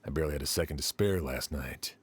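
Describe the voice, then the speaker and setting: A deep, doubtful male official. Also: quick pace, slightly rough. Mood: earnest. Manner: questioning and doubtful. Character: skeptical adult male official who commands men. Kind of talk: video game voice acting.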